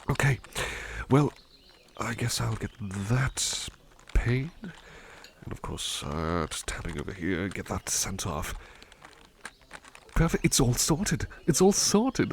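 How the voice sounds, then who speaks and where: A man's voice: fairly low pitch, creaky, slight background noise, full character voice. A man playing a devoted, affectionate butler in an ASMR roleplay, speaking politely and tenderly with hesitant stammers. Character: devoted, affectionate male butler, doting and flustered. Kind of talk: ASMR roleplay, soft personal attention.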